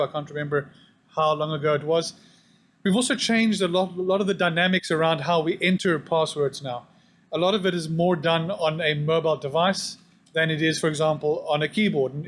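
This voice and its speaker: Man giving a technical conference talk. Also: on the high side. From South Africa. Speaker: conference presenter. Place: conference hall.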